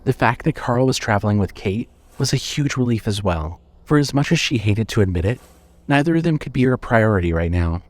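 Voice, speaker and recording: A man's voice: lowish voice, expressive, a little fast, a little mellow. A man narrating a scripted horror story as the narrator of an audio drama.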